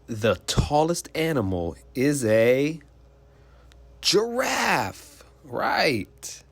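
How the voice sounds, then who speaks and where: A man's voice: on the high side, sing-song, very slow, breathy edge, no room sound. A man reading a children's picture book aloud like a teacher, explaining simply and putting questions to young listeners.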